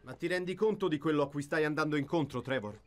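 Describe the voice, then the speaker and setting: An actor voicing a friendly, cautious firefighter hero in a children's cartoon dub. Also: male voice, on the high side, fast, over music, lightly acted. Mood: amused concern.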